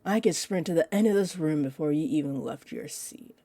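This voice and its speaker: A woman's voice: deep voice, mellow, no echo. An actress playing a teasing, possessive female vampire in an audio roleplay, condescending and confident, speaking straight to the listener. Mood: menacing, condescending. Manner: smug, taunting, unhurried.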